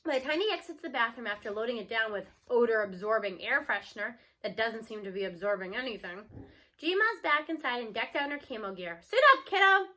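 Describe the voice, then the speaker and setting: A woman narrating a children's story aloud in an expressive read-aloud style. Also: a little high, brisk.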